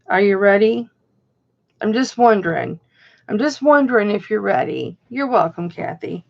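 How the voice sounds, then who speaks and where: Slightly hoarse gravelly lady doing a chatty live auction, slow and kinda high, muffled stream sound. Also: expressive. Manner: chatty, greets viewers by name.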